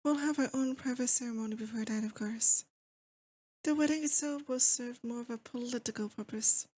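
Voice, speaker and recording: A woman's voice: a little high, moderate pace, darkish tone, husky. A woman acting as a lovesick, possessive demon lord in a romantic ASMR roleplay, intimate and doting with a theatrical menace. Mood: teasing, smug.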